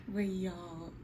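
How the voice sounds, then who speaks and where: A woman around thirty talks to her audience in a candid, conversational vlog style. Her voice sits at a medium pitch and she addresses listeners directly, as if confiding in them. There is clearly audible ambient noise in the recording. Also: warm tone. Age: 29, almost 30. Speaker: vlogger speaking to her viewers. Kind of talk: casual, candid sit-down chat.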